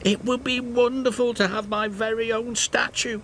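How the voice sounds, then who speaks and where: A man's voice: very high voice, flat delivery, moderate pace, fairly bright tone, a little hiss or hum, voice pushed up. A man doing an eager little tank engine. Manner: eager, boastful. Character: cheerful cheeky little male blue tank engine.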